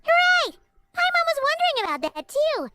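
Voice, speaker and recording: An excitable little childlike fairy from a fantasy game. The voice is extremely high and squeaky, with cheeky, swooping intonation. Music plays clearly behind it. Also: female voice, a little fast. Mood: excited. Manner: cheeky, speaks of self in third person. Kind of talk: video game dialogue.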